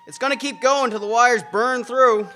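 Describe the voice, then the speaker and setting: An actor playing an earnest, matter-of-fact police constable in a radio drama. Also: male voice, very high-pitched, steady tone, normal speed, bright, forceful tone, slight background noise. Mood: urgent.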